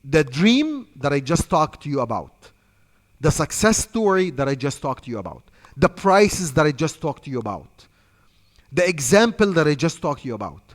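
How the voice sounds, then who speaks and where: Egyptian guy coaching sales reps, kinda high voice, repeats himself a lot, big echoey room. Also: sing-song, moderate pace. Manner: repetitive, emphatic coaching, talks off the cuff. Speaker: sales trainer. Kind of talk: instructional talk to trainees. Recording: long hall reverb.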